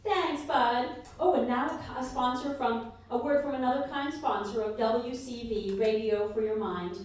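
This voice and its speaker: A woman's voice: a little high, measured pace, lightly acted. A woman playing a chirpy, eager radio station host who presents and exclaims in a live comedy radio play. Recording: echoey live hall, distant mic.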